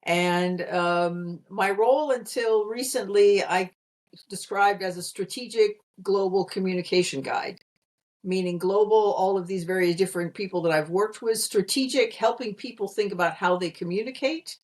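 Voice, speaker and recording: A woman's voice: mid-range voice, normal speed, a little mellow. American woman explaining on a video call. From Pennsylvania, USA. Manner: articulate, explanatory, light laughs. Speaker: guest, communication consultant. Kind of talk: conversational interview answer.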